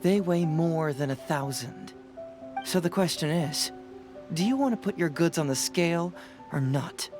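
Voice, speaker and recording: An actor playing a young detective in a game, confident, teasing and coolly probing. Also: male voice, a little high, lively intonation, unhurried, music in the background. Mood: confident, sly.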